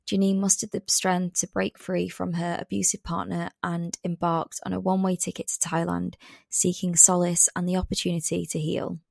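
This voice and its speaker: A woman's voice: on the low side, vocal fry, a little mellow, no room sound, clean studio sound. A woman hosting a podcast, reading a scripted introduction and then chatting in a friendly way with her guest. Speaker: podcast host. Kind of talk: read intro then casual interview.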